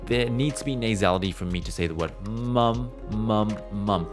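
A man teaching singing in a relaxed, conversational way, now and then humming or holding vowels to show a point. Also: fairly low pitch, moderate pace, music in the background. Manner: conversational, demonstrates with hums and held vowels. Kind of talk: explanatory singing lesson, podcast.

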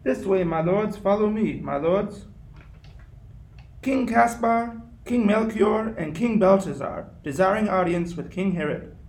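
Man acting high-voiced page boy, echoey. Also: unhurried, darkish tone. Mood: announcing. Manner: formal, ringing announcement. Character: young page boy announcing royal visitors. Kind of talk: radio play acting. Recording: echoey room.

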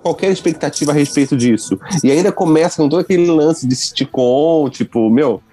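A man's voice: a little high, brisk, warm tone, faint music behind. A man from Rio de Janeiro with a Brazilian Portuguese accent, chatting casually as a podcast co-host and rambling with lots of fillers. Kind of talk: casual chat.

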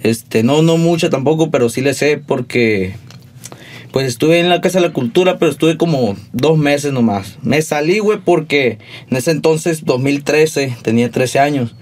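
A man's voice: on the high side, moderate pace, darkish tone. Laid-back Sonoran young man. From Sonora, Mexico. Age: 20s. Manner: laid-back, slangy, rambling. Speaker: musician guest. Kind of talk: casual interview storytelling.

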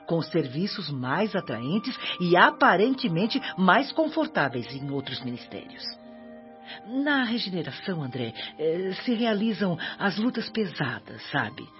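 A woman's voice: low-pitched, lo-fi sound. Motherly Brazilian older woman, music underneath. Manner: affectionate, earnest, counselling. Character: kindly motherly older spirit woman giving humble advice. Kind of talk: Brazilian radio drama acting.

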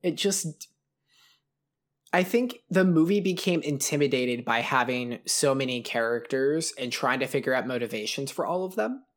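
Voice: mid-range voice, animated, normal speed. A podcast co-host in a casual film review chat, rambling and analytical with many fillers.

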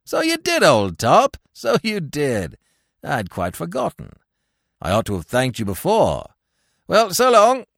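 A man's voice: on the high side, wildly swooping pitch, a little slow, creaky, fairly bright tone, no echo, studio quality, exaggerated voice. A man reading as a giggly foppish young toff. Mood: chirpy. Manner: giggling, breezy slang.

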